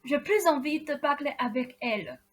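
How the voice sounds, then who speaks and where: A woman's voice: high voice, animated, moderate pace. A woman playing a naive, sulky young prince in a student French radio play. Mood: upset, protesting.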